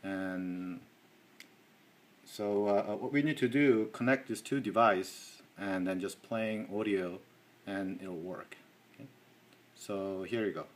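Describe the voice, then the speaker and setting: A man giving an informal hands-on tech tutorial in halting, non-native English. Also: low-pitched. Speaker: tech demonstrator. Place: indoors at a desk.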